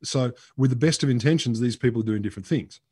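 A man's voice: mid-range voice, fast, a little mellow, breathy edge. A middle-aged Australian man, co-host of a podcast, telling a science story in emphatic casual chat over a headset from a room at home. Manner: storytelling, emphatic, gestures while talking. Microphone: headset on a video call.

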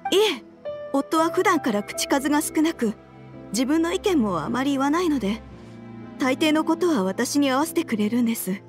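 A woman playing an earnest, troubled wife and mother in a video game, speaking politely and with measured care. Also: high-pitched, animated, over music. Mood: regretful.

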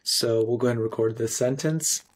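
A man in his thirties narrating a screencast tutorial in an instructional, clearly phrased way. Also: mid-range voice, brisk. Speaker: software tutorial presenter.

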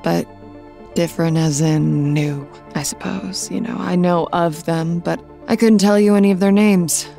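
A woman's voice: on the low side, fairly even intonation, a little slow, creaky, dark tone, faint music behind. A woman playing a controlling, bitter middle-aged mother in an audio drama, haughty, clipped and evasive. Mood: guarded, disdainful.

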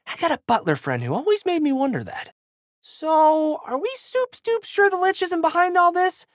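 A man's voice: very high voice, big pitch swings, moderate pace, dry recording, studio quality. A man voicing a plucky, goofy teenage boy hero with slangy, exuberant delivery for a video game. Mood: upbeat, chatty. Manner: exuberant, slangy surfer-dude boyishness. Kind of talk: video game character voice lines.

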